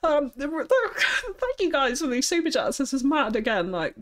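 A young woman with a high-pitched voice chatting on a live stream, bubbly and giggly, often thanking viewers. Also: sing-song, normal speed, fairly bright tone. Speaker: streamer building a city. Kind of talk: casual live-stream chat.

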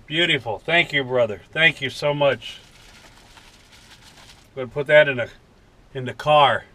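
An American man in his fifties talking in a folksy, unhurried way in a casual vlog from a truck cab. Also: mid-range voice, sharp tone. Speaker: vlogger. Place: inside a parked truck cab.

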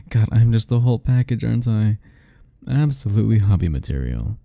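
A man's voice: on the low side, big pitch swings, moderate pace, gravelly, dark tone. A young man speaking a playful, teasing ASMR roleplay straight to the listener, laughing often between lines.

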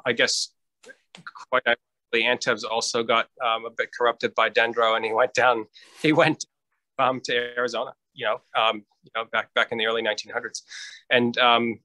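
A middle-aged man from New Hampshire, speaking as a guest scientist over a video call on a headset, measured and thoughtful with frequent ums and restarts. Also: medium pitch, bright, forceful tone, dry recording, poor audio. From New Hampshire, United States. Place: lit home room, indoors.

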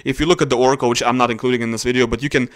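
A man's voice: mid-range voice, steady tone, rapid-fire, no room sound, clean studio sound. A man narrating a casual screen-recorded explainer, thinking aloud with frequent fillers. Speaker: genetics video host.

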